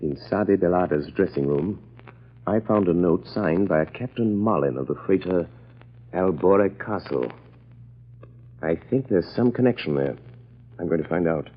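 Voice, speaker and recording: An actor playing a calm, grave crime fighter with measured, dramatic delivery in an old-time radio drama. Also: male voice, deep voice, slightly rough, muffled recording. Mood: resolute. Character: mysterious crime-fighting man, calm, grave, secretly invisible avenger. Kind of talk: 1930s radio acting.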